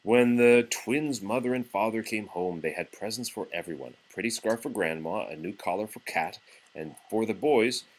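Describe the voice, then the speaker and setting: A man reading a picture book aloud to a young child in an expressive, patient, encouraging manner. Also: lowish voice, normal speed, low-quality recording. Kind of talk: storybook read-aloud.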